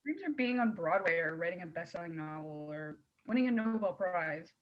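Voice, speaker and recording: A woman's voice: on the low side, animated, a little fast, lo-fi sound, close to an everyday voice. A woman reading the part of a restless, guarded teenage girl at a script table read, understated and evasive. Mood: guarded, subdued. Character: restless, guarded teenage girl dreaming of leaving her hometown.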